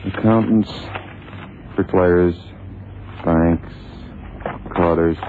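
An actor in a vintage radio drama playing an eager, easygoing detective's sidekick with a colloquial, folksy manner. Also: male voice, deep voice, fairly even intonation, drawn-out words. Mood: obliging. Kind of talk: 1960s-70s radio drama acting. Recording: tinny, noisy old radio broadcast.

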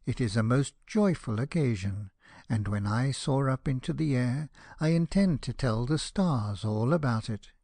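A man plays a small storybook fire balloon full of joy. It talks eagerly and dreamily of happy things. The tone stays soft and dark, in a dry recording. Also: medium pitch, animated, measured pace, dry recording. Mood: cheerful. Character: small cheerful, starry-eyed fire balloon. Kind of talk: dramatized storybook reading.

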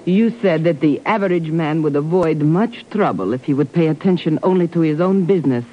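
An actress playing a guarded, world-weary German refugee in an old radio drama, speaking in measured, formal phrases. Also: female voice, low-pitched. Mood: cool, uneasy. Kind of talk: 1950s radio drama acting. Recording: noisy, dull old radio recording.

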